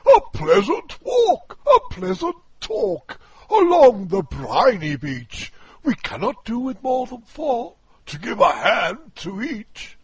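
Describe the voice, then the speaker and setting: A man voicing a greedy, pompous old walrus, declaiming theatrically and shouting his lines in a storybook poem reading. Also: very high voice, wildly swooping pitch, slow, creaky, hardly any pauses, slightly rough, dry recording, voice pushed up, full character voice. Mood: coaxing.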